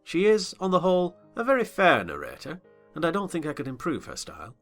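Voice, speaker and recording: A man playing a wry, reflective gentleman who narrates a classic novel in a formal manner. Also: fairly high pitch, huge pitch swings, brisk, soft background music, exaggerated voice. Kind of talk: scripted literary narration.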